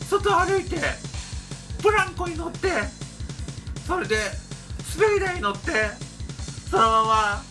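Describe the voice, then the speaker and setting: A cheerful pet creature. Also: male voice, extremely high pitch, big pitch swings, measured pace, pauses often, bright, forceful tone, voice pushed up, exaggerated voice. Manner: sing-song, simple childlike phrasing. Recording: faint music behind.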